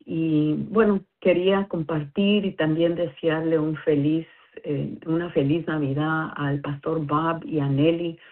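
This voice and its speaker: A low-voiced woman with a husky edge calls in over a tinny phone line. She talks through a bible study as a non-native speaker, hesitant and careful, pausing on fillers. Her delivery is slow. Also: steady tone. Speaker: caller.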